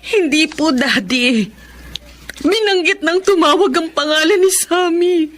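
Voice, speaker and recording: A woman's voice: extremely high pitch, a little slow, a bit bright. A Filipino-accented woman playing a professional doctor who is also a wounded wife, in dramatic audio-drama style. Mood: anguished, tearful. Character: professional female doctor, composed but wounded betrayed wife.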